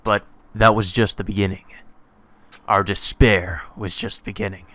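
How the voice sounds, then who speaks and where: A man's voice: lowish voice, little pitch movement, unhurried, pushed and bright. A man giving a dramatic, subdued reading of visual novel narration in a brooding manner. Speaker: let's play narrator.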